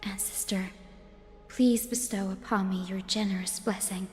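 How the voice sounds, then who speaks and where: An actress playing a gentle, earnest young woman in a video game, speaking softly and politely. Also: mid-range voice, steady tone, unhurried, in a large hall, faint music behind, close to an everyday voice. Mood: solemn, reverent.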